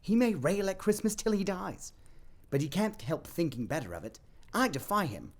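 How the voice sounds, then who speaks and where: A man playing a jovial, good-tempered young nephew in an audio play, hearty, exuberant and loudly declaiming. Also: high voice, sing-song, normal speed, pitched above the performer's own voice. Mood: cheerful, determined.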